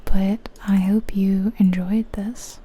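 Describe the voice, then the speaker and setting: A woman whispering softly and breathily in a casual, chatty ASMR style as she comments on a game, gentle and musing. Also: medium pitch, level tone, takes it slowly, breathy edge. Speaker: ASMR channel host.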